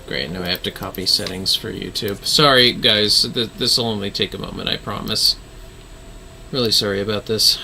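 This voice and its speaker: A man's voice: fairly low pitch, steady tone, normal speed, gravelly, pushed and bright, a little hiss or hum. A man chatting casually as he hosts a game livestream, informal and apologetic, swearing freely. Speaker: livestream host playing a video game.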